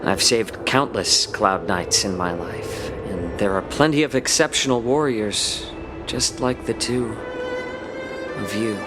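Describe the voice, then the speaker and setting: An actor playing a courteous, composed fox-folk healer in a game cutscene, speaking in a polite, measured, formal way. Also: male voice, lowish voice. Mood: calm, appraising. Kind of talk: scripted game cutscene acting. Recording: background music under game dialogue.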